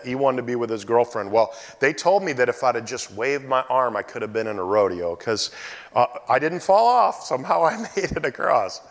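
An animated male pastor preaching in a church sanctuary, his pitch rising and falling with lively expression. His voice carries a long hall reverb, and now and then he lets out big loud calls. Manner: animated storytelling, loud shouted exclamations. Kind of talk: sermon with personal story.

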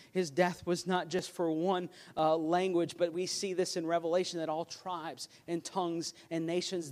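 A man's voice: a little high, darkish tone. A man preaching a sermon as pastor, earnest and emphatic, with a self-deprecating touch. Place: church sanctuary. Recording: long hall reverb.

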